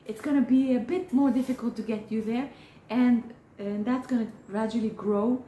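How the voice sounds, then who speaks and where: Mellow, earnest woman. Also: fairly high pitch, steady tone. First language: Hebrew. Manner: earnest, encouraging, halting with frequent restarts. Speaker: therapist. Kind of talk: direct-to-camera advice talk. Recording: roomy echo.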